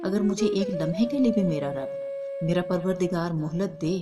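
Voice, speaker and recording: Loud flute music plays behind a grieving mother acted by a woman storyteller. She speaks in a fairly low, tender, sorrowful voice, pleading for her son in a devotional tale. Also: moderate pace, lightly acted. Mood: tender reassurance.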